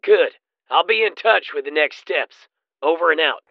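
A man's voice: a little high, animated, normal speed, creaky, no room sound. A man playing a curt, menacing crime boss giving orders over a two-way radio in an audio drama. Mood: commanding, urgent. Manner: terse, commanding. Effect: two-way radio filter. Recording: dull lo-fi radio sound.

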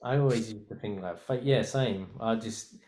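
A man's voice: on the low side, moderate pace, darkish tone, echoey room. A man in his thirties, a designer guest on a chat podcast, who rambles and thinks aloud with many restarts. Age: 30s.

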